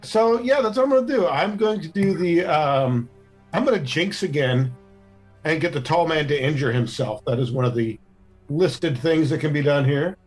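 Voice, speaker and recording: Higher-voiced man thinking aloud at game table. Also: normal speed, faint music behind.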